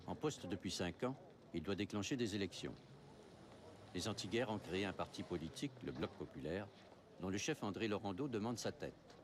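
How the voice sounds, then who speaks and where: Narrateur documentaire, ton posé, voix très grave, léger bruit de fond. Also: male voice, low voice, background noise. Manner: measured, even storytelling. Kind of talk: scripted narration.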